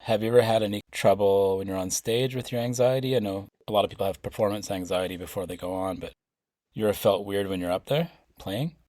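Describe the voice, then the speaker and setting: Man, podcast host, very low pitch, thoughtful questions, dry recording. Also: quick pace, a little mellow, no room sound. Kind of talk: interview questions.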